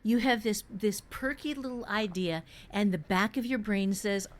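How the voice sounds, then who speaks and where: A woman hosts a casual writing talk in a mid-pitched voice. She explains like a teacher, leaning on key words and dropping in playful asides. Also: normal speed. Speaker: writing podcast host and teacher.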